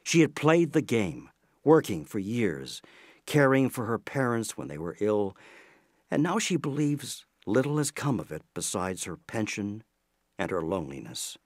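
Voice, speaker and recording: A man narrating an audio play in a scripted, measured storytelling manner. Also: lowish voice, big pitch swings, no room sound, clean studio sound.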